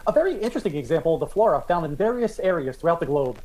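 A man's voice: fairly high pitch, big pitch swings, racing, noisy background. A man playing a shy, pedantic, oblivious teacher, earnest and formal, in old-time radio comedy style.

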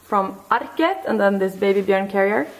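A woman's voice: medium pitch, animated, normal speed, rough recording. A young woman with a Swedish accent chatting casually to her handheld camera as a vlogger. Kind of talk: casual vlog chat.

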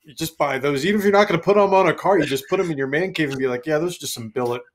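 A man in his thirties co-hosting a casual car show, talking in a relaxed, rambling way on a headset. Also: on the high side, rapid-fire. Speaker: co-host. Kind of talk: casual podcast chat.

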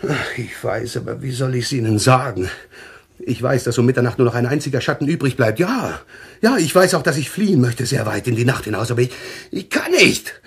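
An actor in a German radio play playing an anxious, troubled man haunted by visions, speaking haltingly with a husky voice. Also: medium pitch, lively intonation. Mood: desperate. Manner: halting, haunted, repeating himself.

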